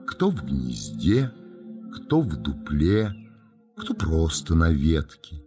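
A man narrating a children's forest story in a dramatic, suspenseful, deliberate manner. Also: extremely low pitch, sing-song, dark tone, full character voice. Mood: suspenseful, storytelling. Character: hushed, mysterious storybook narrator of forest tales. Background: music.

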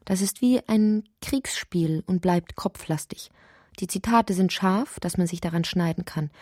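A woman playing a whimsical narrator in a literary radio play, with a husky voice. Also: fairly low pitch, measured pace, darkish tone, dry recording, clean studio sound. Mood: musing, measured.

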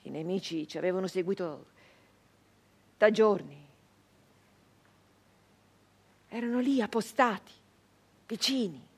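An actress playing a captured nineteenth-century Italian brigand woman in a radio monologue, anguished and defiant, speaking haltingly with weighted pauses and repeated phrases. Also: medium pitch, lively intonation, breathy edge. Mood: grave, tense. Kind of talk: theatrical dramatic monologue for radio.

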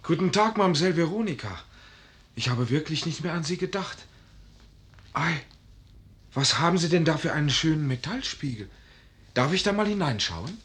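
An actor playing a dreamy, awkward young student in a German radio play, earnest, polite and a little hesitant. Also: male voice, on the high side, big pitch swings, normal speed, long pauses, a bit bright.

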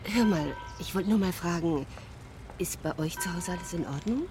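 An actress playing a friendly, caring widowed farm woman in a radio drama, speaking gently and a little hesitantly. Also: fairly low pitch, moderate pace, over music, natural voice. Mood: tentative, caring. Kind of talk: German radio drama acting.

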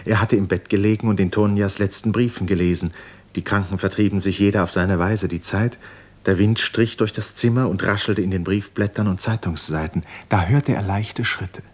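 A man narrating a literary 1950s radio drama in a grave, measured storytelling manner. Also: on the low side, fairly even intonation, darkish tone.

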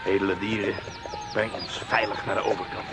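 A man's voice: lowish voice, flat delivery, brisk, natural voice. A man playing a bold, commanding elder brother leading riders in a radio play, confident and hearty. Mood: rallying. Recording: noisy, muffled old radio play recording.

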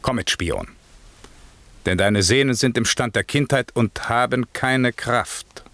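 A man's voice: medium pitch, takes it slowly, a bit bright, dry recording. An actor playing a stern middle-aged sorcerer father in a classic radio drama, imperious and scolding, with theatrical delivery. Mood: threatening. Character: stern, commanding sorcerer father, lord of an island. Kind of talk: classic German radio drama verse acting.

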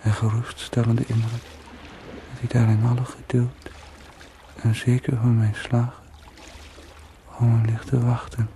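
A man's voice: mid-range voice, monotone, a little slow, pauses often, mellow, close to an everyday voice. An actor in a radio play monologue, playing a brooding, wry man who muses to himself in short, bitterly ironic fragments. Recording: noisy radio play recording.

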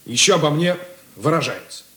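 A man's voice: on the high side, animated, brisk, a bit bright. An actor playing an earnest young rookie policeman in a radio play, hesitant and plaintive. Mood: aggrieved. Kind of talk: Russian radio drama acting. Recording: echoey room.

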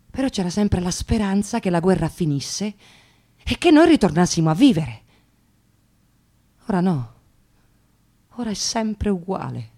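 An actress playing a nervous, tired young unemployed woman in a radio monologue, halting and agitated, repeating her words. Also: fairly low pitch, darkish tone. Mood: wistful. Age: young adult. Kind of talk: radio theatre dramatic monologue.

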